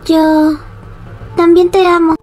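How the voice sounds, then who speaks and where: A woman's voice: very high voice, level tone, takes it slowly, darkish tone. A woman voicing a sweet, coy young woman who is playfully cruel, in a Latin American Spanish comic fandub.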